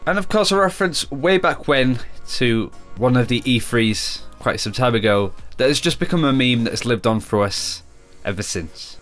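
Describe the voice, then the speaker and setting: A man's voice: mid-range voice, lively intonation, normal speed, a bit bright, faint music behind. A man hosting a gaming video with chatty, tongue-in-cheek commentary. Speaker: YouTube gaming host. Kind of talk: scripted-casual game commentary narration.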